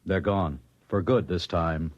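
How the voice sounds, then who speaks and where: An actor playing a blunt, sardonic navigator and radio officer on a spaceship, with clipped calls and biting sarcasm, in old-time radio drama style. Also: male voice, low voice, steady tone, slow. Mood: tense. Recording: dull vintage radio recording.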